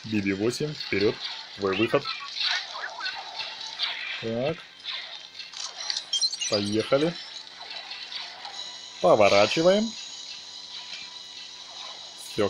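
A man's voice: on the low side, lively intonation, slow, pauses often, background noise. A man hosting a gaming video, commenting casually on his own play in short phrases. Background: game music.

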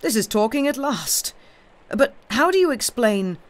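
A reader as a blunt, emphatic doctor, lively pitch. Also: male voice, moderate pace, exaggerated voice. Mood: eager. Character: blunt, rather sulky male village doctor, opinionated. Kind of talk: audiobook character dialogue.

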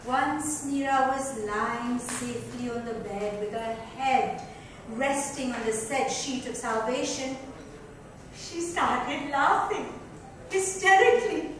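A woman's voice: high voice, takes it slowly, a bit bright. A woman giving a dramatic scripted reading from a book. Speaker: reader. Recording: echoey room, distant, faint noise.

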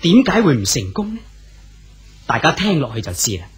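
A man's voice: on the high side, wildly swooping pitch, moderate pace, slightly rough, soft background music. A man with a Cantonese accent narrating a radio drama in a storytelling manner. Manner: storytelling delivery.

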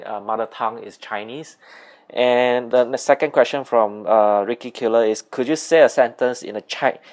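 A young man from Singapore with a Singaporean English accent, hosting a casual question-and-answer video from a small room at home, speaking plainly through an earphone mic with lots of uh and um fillers. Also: mid-range voice. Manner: plain and unhurried, with frequent uh and um fillers. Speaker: video host. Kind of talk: casual Q&A vlog.

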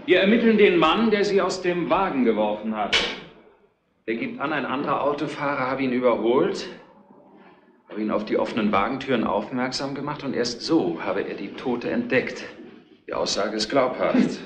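An actor playing a stern, methodical police investigator in a retro crime drama, matter-of-fact and firm, in an echoey, slightly noisy old recording. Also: male voice, mid-range voice, lively intonation, normal speed, crowd noise, natural voice. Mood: stern, factual.

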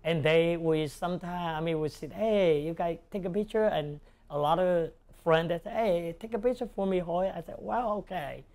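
A middle-aged restaurant owner from Hong Kong with a Cantonese accent, talking casually in halting English in an interview. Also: male voice, fairly high pitch, a little mellow. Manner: halting, non-native English phrasing. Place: indoors in a restaurant booth. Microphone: lapel.

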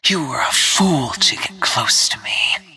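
An actress voicing a cold, menacing female assassin in a video game, with a breathy, husky, hushed delivery and controlled threat. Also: very deep voice, lively intonation, measured pace, whispered, heavily acted, rough recording. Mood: cold, contemptuous. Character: cold, menacing female assassin who stalks her targets. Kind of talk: scripted video game character barks.

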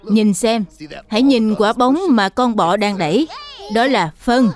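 A man voicing a patient, caring adult bear teacher in a children's cartoon dub, gentle and instructive. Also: sits high, a little slow. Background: background music.

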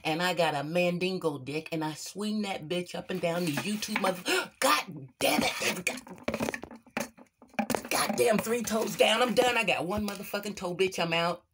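A man putting on a crude, swaggering character with a rotting foot, cursing and yelling his catchphrases in a livestream comedy skit. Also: high-pitched, fairly quick, hardly any pauses, very noisy, close to an everyday voice. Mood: frantic. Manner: profane, boastful, yelling catchphrases.

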